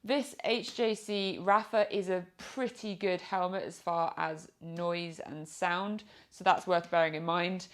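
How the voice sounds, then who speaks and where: A woman's voice: on the low side, steady tone, a little slow, few pauses. A woman with a British accent giving an informal product review in a chatty, explanatory way. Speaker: product reviewer and motorcycle vlogger.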